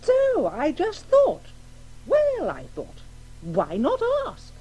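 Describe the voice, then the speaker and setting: A woman's voice: high-pitched, wildly swooping pitch, slow pace, mellow, full character voice, poor audio. An actress playing an effusive, tentative middle-aged English mother, politely wheedling, in a radio drama. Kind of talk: 1980s radio play acting.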